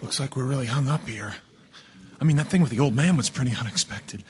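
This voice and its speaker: A man's voice: medium pitch, a little fast, background noise, natural voice. An actor playing an affable, flighty advertising man in a radio drama, rambling and glib. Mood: chatty.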